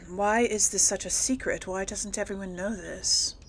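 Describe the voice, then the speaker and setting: Female gaming host, bright but fry-edged, thinking aloud casually, faint noise behind. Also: medium pitch, unhurried. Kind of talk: casual role-play game commentary.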